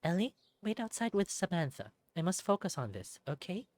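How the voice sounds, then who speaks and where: Lady doing a fox mom, kind n reassuring, motherly, pitched up, visual novel read. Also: big pitch swings, a little fast, a little mellow, dry recording. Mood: focused.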